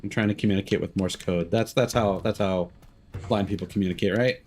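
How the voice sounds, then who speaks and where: A man's voice: fairly low pitch, steady tone, fairly quick, darkish tone, soft background music. A man giving casual gameplay commentary as a streamer, with joking, irreverent asides. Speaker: video game streamer.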